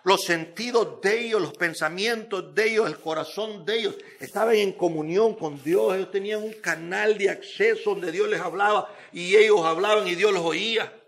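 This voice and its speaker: High-pitched man preaching emphatically. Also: normal speed, few pauses, fairly bright tone. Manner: emphatic, repetitive, rhetorical questions and phrases. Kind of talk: sermon.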